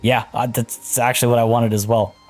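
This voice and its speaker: A man casually talking through a strategy game as a let's play host. He is slightly hoarse from losing his voice and thinks aloud with fillers. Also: mid-range voice, level tone, fairly quick, darkish tone. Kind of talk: casual gameplay commentary. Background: faint game music.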